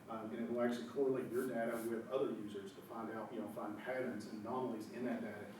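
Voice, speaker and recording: A man with a hoarse voice from a cold, giving a casual, rambling talk as a company founder, full of fillers and self-corrections. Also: lowish voice, fairly even intonation, rapid-fire. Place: large echoey lecture room. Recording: echoey hall, speaker far from mic, background noise.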